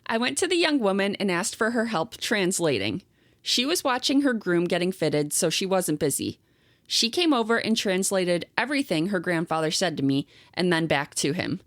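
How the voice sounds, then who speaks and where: Lively low-voiced woman co-host. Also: moderate pace, dry recording. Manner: chatty, agreeable, reads stories in a steady storytelling voice. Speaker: podcast co-host. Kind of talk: casual chat, reading online posts aloud.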